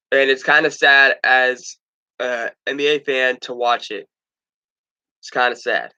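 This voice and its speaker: A man's voice: mid-range voice, bright, forceful tone, clean tone, highs cut off. A young man hosting a casual sports talk stream, stuffed up from allergies, rambling with stumbles and restarts.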